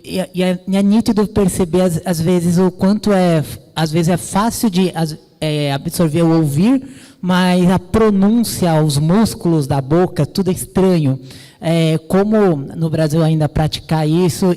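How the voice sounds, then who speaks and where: An audience member with a Brazilian accent asking a question in a hesitant, halting way, often repeating words. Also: medium pitch, warm tone, long reverb tail, low-quality recording. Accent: Brazilian Portuguese.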